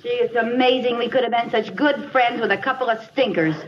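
A woman plays a high-voiced housewife, stubborn and wounded, in a 1950s radio comedy. The recording is dull and lo-fi, with clear noise over it. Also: fairly even intonation, fairly quick, far from the mic, natural voice. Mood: indignant. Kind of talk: 1950s radio sitcom acting.

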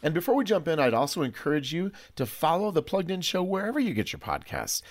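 A man's voice: a little high, very animated, fast. A man hosting a studio discussion podcast in a playful, effusive and emphatic way. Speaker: podcast host.

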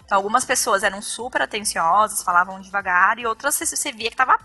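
A Brazilian woman in her thirties chatting as a podcast guest, lively and talkative. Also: medium pitch, soft background music. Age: 30s. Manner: lively, chatty, quick-talking. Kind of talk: casual podcast chat.

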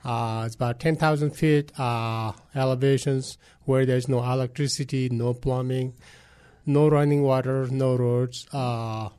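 A man's voice: medium pitch, level tone, measured pace, warm tone, clean tone. A man from Nepal with a Nepali accent, answering interview questions hesitantly, with many fillers and simple phrasing. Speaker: guest.

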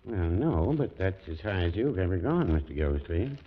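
An actor playing a mild older small-town druggist in a 1940s radio comedy, deadpan and hesitant. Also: male voice, deep voice, moderate pace, breathy edge, exaggerated voice. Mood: deferential, dry. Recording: muffled, noisy old radio recording, clicks.

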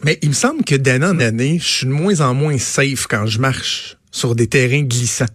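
A man's voice: medium pitch, expressive, normal speed, a bit bright, dry recording, studio quality. A man in his late thirties with a Québécois accent, co-hosting a radio show in a casual, colloquial chat style. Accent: Québécois French. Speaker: radio co-host.

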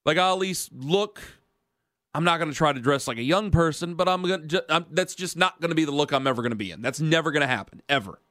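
A man's voice: a little high, animated, quick pace, pushed and bright, no echo, clean studio sound. A man in his thirties hosting a radio sports talk show, rambling in casual banter with self-mocking asides. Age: 30s. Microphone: studio mic.

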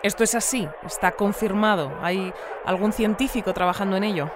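An actress playing a composed woman news presenter in a scripted radio drama bulletin, formal and measured in her delivery. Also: mid-range voice, background noise, natural voice. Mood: probing, composed.

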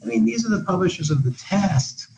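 A man's voice: high voice, animated, fairly quick, gravelly, a little mellow, muffled recording, a little hiss or hum. A man who is a guest expert on an education podcast, talking in long, discursive answers with many restarts. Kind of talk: podcast interview. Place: living in Portugal.

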